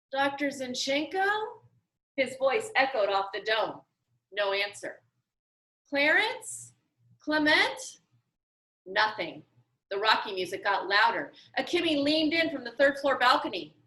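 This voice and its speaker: A woman's voice: on the high side, pushed and bright. A woman reading a children's novel aloud with dramatic, emphatic storytelling. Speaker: audiobook reader. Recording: roomy echo.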